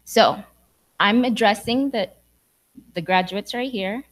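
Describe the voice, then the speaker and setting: A woman's voice: mid-range voice, takes it slowly. A young woman from Sudan giving an earnest, encouraging speech to graduates in a church hall. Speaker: graduation speaker.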